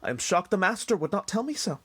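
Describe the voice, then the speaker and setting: A man's voice: high-pitched, very animated, quick pace, fairly bright tone, husky, no room sound, heavily acted. A man playing a proper, put-out manservant who announces guests, formal and fussy, in an amateur audio drama. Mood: affronted. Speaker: household servant.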